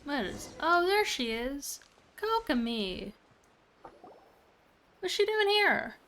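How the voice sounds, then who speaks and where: A woman's voice: high-pitched, sing-song, takes it slowly, a bit bright. A woman livestreaming a video game, giving casual commentary as she plays. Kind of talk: casual game commentary, reading dialogue aloud. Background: game music.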